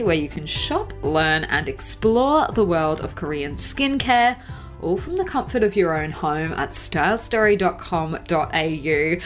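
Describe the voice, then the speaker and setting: A woman hosting a podcast in a casual, chatty way, sounding a bit congested from a cold, with frequent fillers. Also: fairly low pitch. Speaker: podcast host. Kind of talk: casual solo podcast chat.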